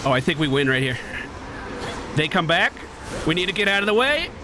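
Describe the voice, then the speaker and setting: A man's voice: a little high, very animated, a little fast, noisy background. A man streaming a video game with casual, chatty commentary, explaining things with playful slang.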